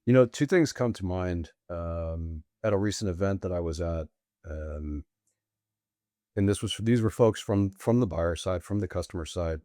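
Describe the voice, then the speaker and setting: A middle-aged man, bilingual with Portuguese, answering interview questions as a guest in long, deliberate, winding sentences. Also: deep voice, warm tone, no echo, clean studio sound. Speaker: guest, sales executive.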